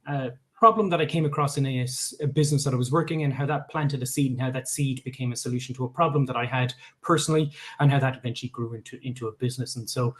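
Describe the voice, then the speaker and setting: A man with an Irish accent presenting his startup on a video call, talking conversationally with frequent filler sounds and restarts. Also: mid-range voice. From Ireland.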